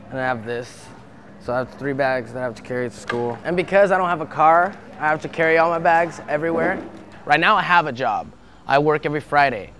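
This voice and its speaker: A man's voice: medium pitch, a bit bright, slight background noise. A young man from Brazil, speaking earnestly in a documentary interview and often stopping to restart his sentences.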